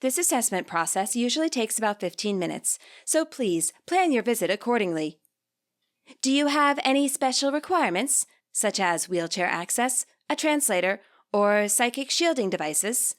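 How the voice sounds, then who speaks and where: A woman reading scripted fiction aloud as a podfic narrator, measured and clearly enunciated. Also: a little high, lively intonation. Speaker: podfic reader.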